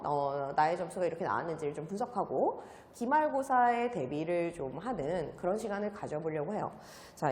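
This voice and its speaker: A female math academy teacher explaining an exam's results to students, using frequent "uh" fillers. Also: mid-range voice. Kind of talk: exam analysis lecture. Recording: echoey room with long reverb.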